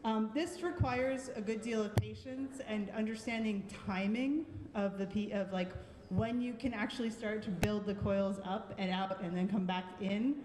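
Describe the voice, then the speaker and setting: A woman's voice: medium pitch, fairly even intonation, moderate pace, background noise. A woman with a Boston accent giving a friendly, thoughtful live critique of art pieces, with frequent ums. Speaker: art show juror. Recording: echoey hall with long reverb.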